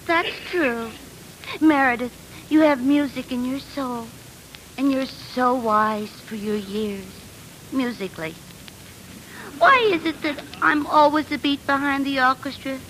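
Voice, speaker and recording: Very high-pitched, gushing teenage girl. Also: fairly even intonation, unhurried, fairly bright tone, a little hiss or hum, poor audio. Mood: dreamy, worried. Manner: breathless, theatrical gushing. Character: gushing, awestruck high-school tuba girl, naive and melodramatic. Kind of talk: 1940s radio acting. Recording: vintage 1940s radio recording.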